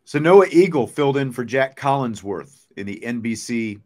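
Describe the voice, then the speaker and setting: A man's voice: mid-range voice, animated, moderate pace, a little mellow. A man with a mid-range voice hosts a sports talk show. He speaks casually and conversationally, as if talking things over with a co-host. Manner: conversational, poses questions to co-host.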